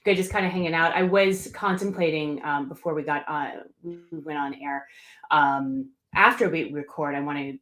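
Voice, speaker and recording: A woman's voice: low voice, steady tone, normal speed, a bit bright, low-quality recording. A woman co-hosting a casual podcast chat, talking hesitantly with many fillers. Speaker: podcast co-host.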